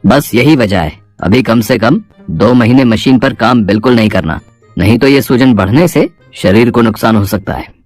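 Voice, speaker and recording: A man voicing a calm, matter-of-fact doctor giving measured advice in a Hindi moral-story cartoon. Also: medium pitch, dry recording, faint music behind, lightly acted. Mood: serious, advising. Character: calm, advising male doctor.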